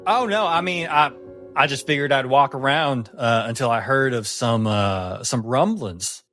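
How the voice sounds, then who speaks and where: A tiny adventurer played by a man speaks with eager courtesy and earnest self-importance. He is boyishly polite and proud of himself, a tabletop game figure with soft music underneath. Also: mid-range voice, measured pace. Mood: earnest, chatty.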